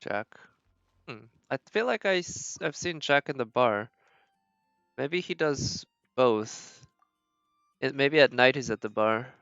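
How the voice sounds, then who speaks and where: A man's voice: mid-range voice, expressive, takes it slowly, pauses often, fairly bright tone, smooth, dry recording, lo-fi sound, faint music behind. A man giving casual, rambling gameplay commentary as a streamer, thinking aloud as he plays. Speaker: game streamer.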